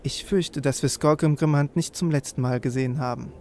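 A man with a creaky voice hosting a let's play, commenting on the game and reading quest text aloud. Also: on the high side, fairly even intonation, fairly quick, darkish tone, slight background noise. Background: game music.

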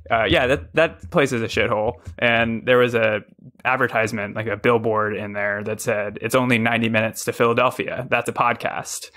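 A man's voice: lowish voice, bright, forceful tone. A man from Texas, a podcast guest, talking casually with wry, joking asides. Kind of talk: casual interview.

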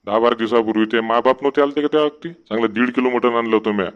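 A man's voice: medium pitch, very fast, clear, resonant tone, muffled recording, natural voice. A man voicing a henpecked, sarcastic village husband in a comedy cartoon, complaining in rural Marathi of Vidarbha.

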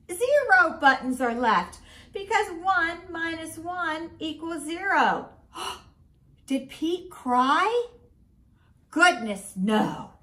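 A middle-aged woman reading a picture book aloud to children in an expressive, deliberate way. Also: very high voice. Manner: expressive, slow and deliberate for children, with sing-song refrains. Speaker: school principal, guest story reader. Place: small home room, indoors.

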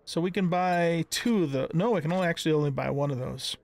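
Higher-pitched man giving folksy game commentary. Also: fast talker, darkish tone. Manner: folksy, thinking aloud, exclaiming. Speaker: gaming channel host. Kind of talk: casual let's-play commentary. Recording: clear background noise with clicks.

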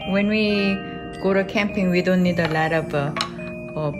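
A native Korean woman with a Korean accent, chatting casually in halting, simple phrases as she films a vlog. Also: lowish voice. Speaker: vlogger. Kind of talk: casual chat while filming. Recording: music over the voice.

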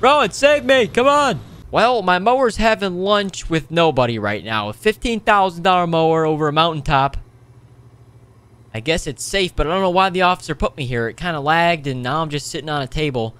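A young man narrates his gameplay in a casual, excitable way. His voice sits fairly high and sounds clean and smooth. He is slangy and animated, full of exclamations as he plays along with the roleplay. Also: fairly quick. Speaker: gaming video host.

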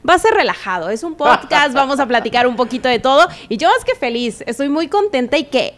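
A young Mexican woman from Veracruz, an expressive, playful guest telling anecdotes in a casual chat. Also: high-pitched, brisk, slight background noise. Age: young adult. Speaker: sports journalist, podcast guest. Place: small indoor room with stone wall. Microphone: handheld microphone.

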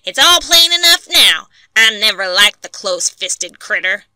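A woman's voice: medium pitch, sing-song, a little slow, sharp tone, dry recording, exaggerated voice, poor audio. A woman voicing Bill Bates, a blunt, scornful logger man with a backwoods drawl.

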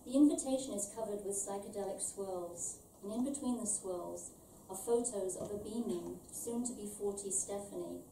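A middle-aged woman from London, born in Ireland, reading her own short story aloud in a measured, deliberate way. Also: mid-range voice, mellow. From born in Ireland, raised in London. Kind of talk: scripted literary reading aloud. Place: small event venue with stage, indoors. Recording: roomy, distant, faint background noise.

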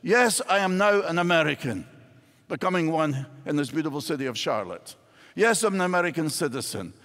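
A man's voice: a little high, animated, brisk, a bit bright. An older man with a Scottish accent, preaching a sermon from a church stage with wide gestures. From Scotland. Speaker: pastor. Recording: hall reverb in a large church.

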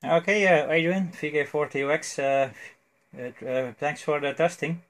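Higher-pitched Belgian man, halting radio chat. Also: steady tone, a bit bright. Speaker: amateur radio operator. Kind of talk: casual radio conversation. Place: indoors at a cluttered electronics desk. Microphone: cell phone near the desk.

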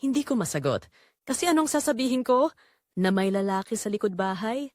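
Woman playing a guilt-ridden wife, lively confessional narration. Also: fairly high pitch, brisk, no echo, clean studio sound, lightly acted. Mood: anguished. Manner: emotive, confessional. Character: guilt-ridden wife Rosa, remorseful and heartbroken. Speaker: story narrator. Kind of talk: first-person dramatic audio story narration.

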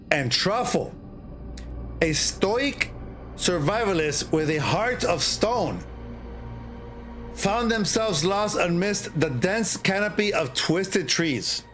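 A middle-aged man hosts a storytelling livestream with music behind him. His voice sits a little high for a man, and he takes his time, stretching out phrases theatrically with pauses. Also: fairly bright tone. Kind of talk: casual livestream chat and horror story reading. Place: indoor streaming setup.

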